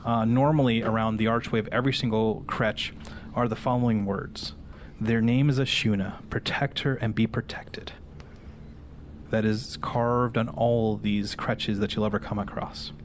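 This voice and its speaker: A man working as game master, narrating a fantasy horror tabletop game in a halting, explanatory way. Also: on the low side, steady tone, a little hiss or hum.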